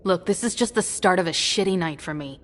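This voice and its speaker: A woman's voice: fairly low pitch, a little fast, lightly acted. A woman playing a tough, jaded, sardonic young streetwalker with a wry manner in a video game drama.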